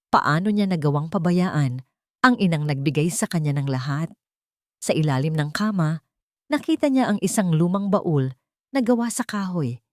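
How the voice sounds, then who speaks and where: Low-pitched woman narrating a dramatic story. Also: a little mellow, no echo, studio quality.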